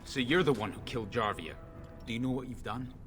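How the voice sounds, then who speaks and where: An actor playing a vengeful, threatening dwarf gang thug in a fantasy game. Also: male voice, medium pitch, a little fast, a bit bright, faint music behind, natural voice. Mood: menacing, vengeful. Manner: threatening, accusing.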